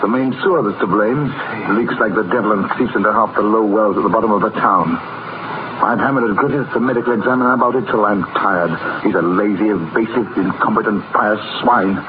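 A man's voice: on the low side, brisk, dull audio, lightly acted. Man playing sardonic doctor, noisy old radio recording. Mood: bitter. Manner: sardonic, blunt. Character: cynical hard-drinking small-town doctor, weary but spirited. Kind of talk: 1940s radio drama acting.

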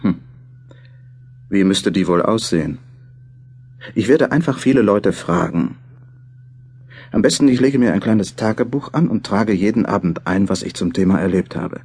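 A man's voice: fairly low pitch, moderate pace, long pauses, darkish tone, breathy edge. A man playing a thoughtful, mildly doubtful journalist who muses and questions himself over his diary in a German radio play. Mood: musing. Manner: musing, self-questioning.

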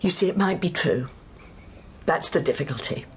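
An actress playing an earnest, fussy older English spinster in a radio drama, self-deprecating, apologetic and brisk. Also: female voice, low voice, animated, a little hiss or hum.

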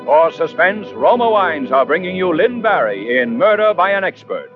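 A man's voice: on the high side, sing-song, normal speed. An American man introducing a suspense programme as a grand, formal 1940s radio announcer. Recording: dull old radio recording with music.

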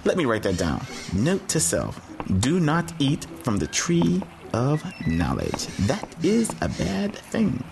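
An actor playing a laid-back, excitable first man in a comic audiobook Bible drama, with a hip, casual, exclamatory way of talking. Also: on the high side, slow, gravelly, heavily acted. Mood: eager, casual. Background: music.

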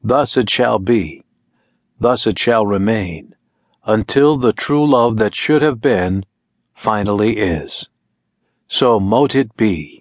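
A man voicing a vengeful, heartbroken young witch who chants her curse slowly and solemnly. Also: on the low side, expressive, no room sound, clean studio sound. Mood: chanting. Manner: slow solemn incantation.

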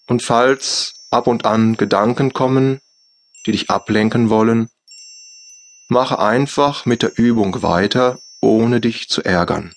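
A man with a German accent guiding a yoga nidra relaxation in slow, soft, calm instructions with long pauses. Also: mid-range voice. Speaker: meditation guide. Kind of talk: guided yoga nidra meditation.

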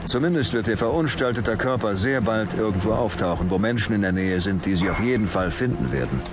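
A man's voice: lowish voice, fairly quick, music in the background, lightly acted. A male actor playing a cynical, world-weary middle-aged police detective in a crime audio drama, with a biting, emphatic delivery. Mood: grim, intense.

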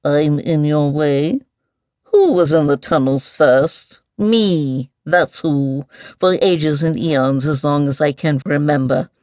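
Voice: medium pitch, slow pace, a little mellow, dry recording, studio quality, lightly acted. A narrator voicing a grumpy, mumbling giant mole. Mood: annoyed, pompous. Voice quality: mumbling, liquid. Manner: repetitive, indignant muttering to itself. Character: grumpy muttering giant near-sighted mole, fussy and pompous.

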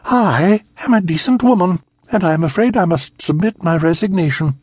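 A man voicing a stern, prudish middle-aged governess who scolds in a shrill, indignant way. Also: lively intonation, normal speed, a little mellow, husky, no echo, studio quality.

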